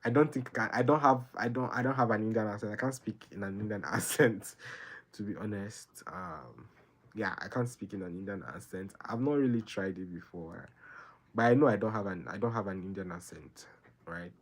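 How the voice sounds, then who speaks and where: Earnest low-voiced Nigerian man. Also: brisk. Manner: earnest, rambling, keeps adding "right?" and repeating himself. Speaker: livestream host answering viewer questions. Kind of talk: casual live Q&A advice.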